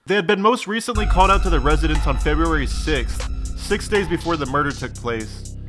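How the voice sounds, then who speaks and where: A man hosting a true crime podcast, reading a scripted narration in a steady, measured way. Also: fairly high pitch, music in the background. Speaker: podcast host.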